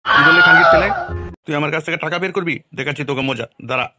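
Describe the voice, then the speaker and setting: Man acting a high, rapid-fire grumpy cartoon father. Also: loud music behind the voice, natural voice. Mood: angry, scolding. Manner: coarse, exasperated, swearing. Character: grumpy, foul-mouthed village father and shopkeeper men. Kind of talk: comic cartoon dialogue.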